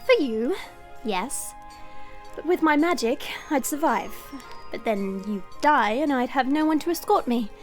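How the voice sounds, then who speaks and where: A haughty princess, a dragon in human form, acted by a woman, speaks in a high voice. Her delivery is formal and imperious, as in a fantasy audio drama, with music underneath. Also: lively intonation, measured pace. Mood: firm. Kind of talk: audio roleplay drama acting. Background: background music.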